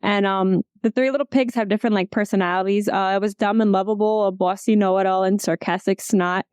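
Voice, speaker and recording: Woman interviewer, clear smooth voice, casual chat, dry close sound. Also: medium pitch, steady tone, brisk, a little mellow, no room sound. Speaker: interview host.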